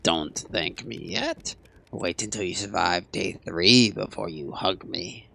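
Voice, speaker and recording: A man's voice: low-pitched, creaky, pushed and bright, pitched below the performer's own voice, heavily acted. A man doing a mocking veteran teller. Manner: sardonic, theatrical, drawn-out delivery. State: hoarse. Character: mocking veteran male bank teller mentor. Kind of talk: video game character voice.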